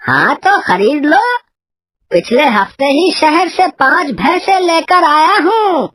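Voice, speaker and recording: A woman voicing a boastful, pushy male buffalo trader with a drawn-out salesman's delivery in a cartoon story. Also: sits high, expressive, a bit bright, dry recording, studio quality, exaggerated voice. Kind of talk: cartoon moral story dialogue.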